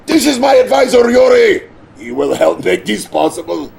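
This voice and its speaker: An actor playing a scheming, middle-aged Soviet premier with a Russian accent, pompous and mock-courteous, in a campy game cutscene. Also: male voice, high voice, very animated, moderate pace, gravelly, a little mellow, a little hiss or hum, heavily acted. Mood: gleeful, genial. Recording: music underneath.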